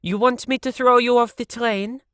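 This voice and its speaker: A man voicing a furious, combative young woman who scolds and threatens in a crude, shouting way. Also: extremely high pitch, steady tone, normal speed, clean tone, no room sound, clean studio sound, pitched above the performer's own voice. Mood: threatening.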